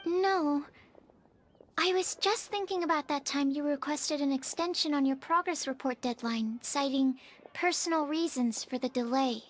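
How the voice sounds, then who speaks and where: Shy young female scholar, played by a woman: game role, high pitch, polite, hesitant, precise wording. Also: normal speed, natural voice. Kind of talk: video game voice acting.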